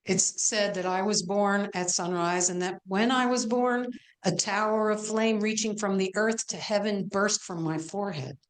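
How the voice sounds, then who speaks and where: A woman's voice: medium pitch, fairly even intonation, normal speed, natural voice, low-quality recording. A woman playing a self-assured pagan goddess in a stage play, telling her myths in a matter-of-fact way. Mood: proud. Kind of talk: amateur stage play performance.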